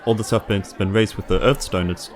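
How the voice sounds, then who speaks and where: A man's voice: fairly low pitch, steady tone, brisk, some chatter behind. A man with a New Zealand accent talking casually as a player in a tabletop roleplaying game. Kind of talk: casual improvised roleplay chat.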